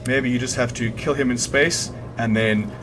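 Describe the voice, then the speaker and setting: Man, mid-pitched voice, casual gaming guide, informal, thinking aloud, game music underneath. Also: steady tone, moderate pace. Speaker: gamer hosting a video game guide. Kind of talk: casual game walkthrough commentary. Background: video game music and sounds.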